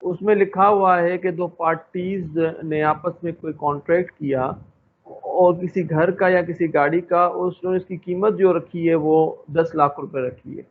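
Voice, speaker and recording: The recording is narrow and phone-like, with a little noise underneath. A man with a rather high voice lectures in an explanatory style. He proceeds haltingly, padding his sentences with filler phrases and slipping between two languages. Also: steady tone. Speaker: law lecturer. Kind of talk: explanatory lecture mixing Hindi-Urdu and English. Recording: thin phone-like audio with faint noise.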